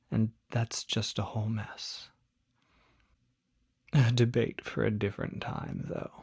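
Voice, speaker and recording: A courteous, reassuring demon doctor, played by a man in an ASMR roleplay. The delivery is very slow and gentle, with a politely formal bedside manner that often slips toward a whisper. The tone is dark and relaxed, captured close and dry. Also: fairly low pitch, no room sound. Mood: measured, explanatory. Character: courteous, soft-spoken male demon doctor, fussy and reassuring. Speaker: doctor at a supernatural clinic.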